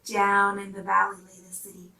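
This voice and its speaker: A woman reading a storybook aloud to young children in an animated, expressive way. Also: medium pitch, moderate pace, creaky. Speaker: storybook reader. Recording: roomy, slightly distant microphone.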